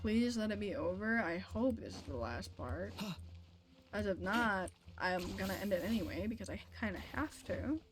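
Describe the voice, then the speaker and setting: A woman's voice: mid-range voice, expressive, normal speed. A young woman streaming a game, chatting casually in a blunt, sweary, complaining way. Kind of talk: casual livestream chat and game commentary. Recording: music behind the voice. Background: game music.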